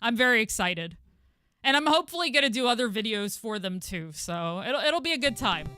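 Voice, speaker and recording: A young woman streamer with a husky voice, chatting casually with viewers while gaming and thanking them, with frequent fillers. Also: fairly high pitch, expressive, fairly quick, sharp tone, no room sound.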